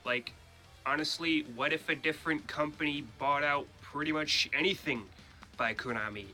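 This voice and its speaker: A man with a stuffy nose from a cold, giving his blunt opinions in a casual gaming vlog, swearing freely and filling pauses with ums and uhs. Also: on the high side, bright, forceful tone, faint music behind. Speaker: gaming YouTuber.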